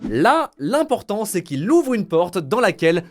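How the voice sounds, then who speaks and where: A man's voice: sits high, big pitch swings, measured pace, soft background music. A young man, hosting and narrating a scripted online video in an informal, dramatic storytelling manner. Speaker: video essay host and narrator.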